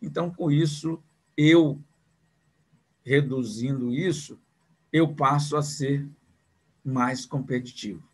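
A man's voice: mid-range voice, fairly even intonation, slow, long pauses, slightly rough. A man in his sixties giving an online business lecture over a video call, talking in a conversational way with repeated words and rhetorical questions.

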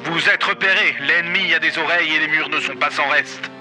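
An actor playing a theatrical, smug spy handler narrating a game in a radio comedy. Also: male voice, a little high, fairly quick, over music, close to an everyday voice. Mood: instructing. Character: theatrical male spy handler, smugly instructing.

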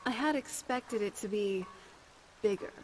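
A woman playing a young female bard companion in a fantasy video game, speaking in a measured, conversational, musing way. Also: medium pitch, slight background noise, lightly acted, poor audio. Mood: wistful musing. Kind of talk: scripted video game dialogue.

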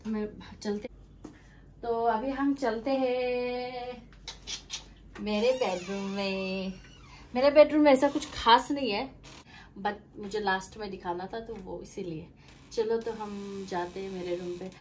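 A woman vlogger talks casually as she shows viewers around her home. Her voice sits at a medium pitch and is picked up from a little distance in small indoor rooms, with faint noise behind it. She chats informally and slips English words and phrases into her speech. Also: slow pace, a little mellow. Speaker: vlogger giving a house tour. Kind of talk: casual house-tour vlog, chatty.

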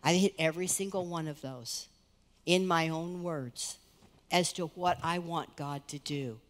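A middle-aged woman pastor preaching in a large church hall. She speaks earnestly and conversationally, and her pitch swings between lifted emphasis and quiet, low asides. Her voice carries a long reverb off the room around her. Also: measured pace. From United States. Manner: earnest, conversational preaching with lively rises and soft drops. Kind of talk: sermon. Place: large church stage, indoors.

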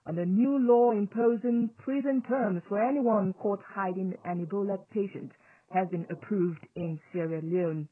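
A woman's voice: mid-range voice, moderate pace. A woman hosting a scripted radio news programme. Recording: tinny phone-like digital shortwave radio.

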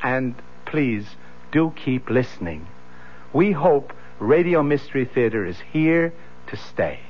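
A man addressing listeners as a radio producer in a measured, courteous scripted announcement. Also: mid-range voice, very animated. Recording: dull vintage radio recording.

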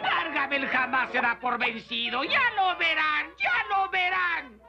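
A man voicing a scheming, hysterical evil wizard in a cartoon dub, with a creaky cackle and Latin American Spanish accent. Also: very high-pitched, animated, a little slow, dull audio, heavily acted. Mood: indignant. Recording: loud music behind.

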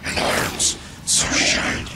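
A man's voice: takes it slowly, very noisy, lightly acted. A man playing a savage, tormented mutant scorpion monster in a video game, snarling in broken speech. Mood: menacing, tormented. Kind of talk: video game villain acting.

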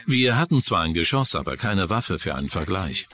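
Deep male interview voice-over for a documentary, light music underneath. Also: big pitch swings, moderate pace, bright, forceful tone.

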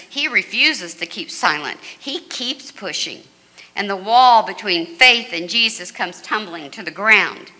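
An older woman pastor delivering a measured, earnest sermon at a lectern mic in a church sanctuary. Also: on the high side, bright, forceful tone. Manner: measured, earnest preaching with dramatic quoted voices. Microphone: stand mic at a lectern, far camera. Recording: reverberant church hall.

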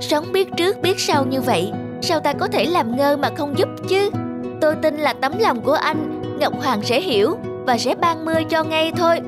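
A woman's voice: sits high, animated, moderate pace. A woman voicing a kindly, wise male messenger bird in a cartoon. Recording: music behind the voice.

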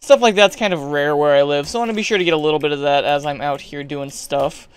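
High, smooth-voiced young gaming streamer. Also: male voice, fast. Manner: chatty, excitable, yells at creatures. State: hoarse, losing his voice. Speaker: gaming YouTuber. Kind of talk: casual gameplay commentary.

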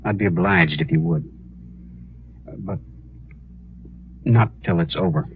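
An actor playing an earnest, humble American prisoner in a 1950s radio western, speaking haltingly. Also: male voice, low-pitched, phone-quality sound. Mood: resigned, earnest. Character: earnest young condemned prisoner protesting his innocence. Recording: thin, noisy old radio recording.

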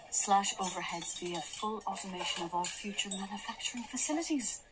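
Pragmatic woman colleague with mid-pitched voice played by an actress. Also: fairly quick, noisy background, lightly acted. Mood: pragmatic, probing. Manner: conversational, level-headed. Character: pragmatic, questioning female colleague. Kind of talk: radio drama acting.

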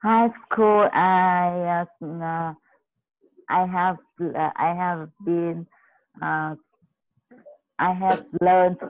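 A woman's voice: fairly low pitch, fairly even intonation, dry recording. Hesitant woman on a dull video call. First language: Vietnamese. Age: 30s. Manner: hesitant, many pauses and fillers. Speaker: student. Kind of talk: halting answers in an online lesson.

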